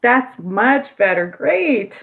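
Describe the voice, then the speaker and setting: A woman's voice: on the high side, sing-song, slow pace, phone-quality sound. An American woman, an ESL teacher, leading a live conversation lesson and coaching a student's pronunciation. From United States. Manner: encouraging, corrects pronunciation.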